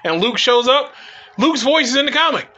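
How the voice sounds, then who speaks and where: A man's voice: very high-pitched, animated, normal speed, bright, forceful tone, highs cut off. A man in his forties chatting casually and emphatically as co-host of a show, rambling with strong opinions and swearing. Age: 40s. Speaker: co-host of a comic book talk show.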